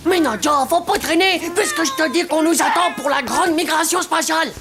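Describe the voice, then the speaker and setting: A brash little schoolboy from a cartoon, high-pitched and excitable. The talk is full of slang and exclamations, over loud music. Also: female voice, normal speed. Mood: indignant, urgent.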